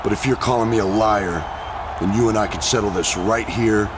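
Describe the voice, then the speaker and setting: Man acting as a defiant low-voiced wrestler, noisy backdrop. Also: normal speed, natural voice. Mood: challenging. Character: defiant wrestler denying betrayal, ready to fight. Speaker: wrestler in a video game cutscene. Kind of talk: scripted game cutscene acting. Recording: noisy game audio with ambience.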